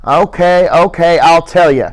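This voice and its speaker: Man doing a gruff scolding drifter, irritable, high voice, storybook reading. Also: measured pace, close to an everyday voice, poor audio. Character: small, gruff, short-tempered working man. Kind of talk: audiobook character reading.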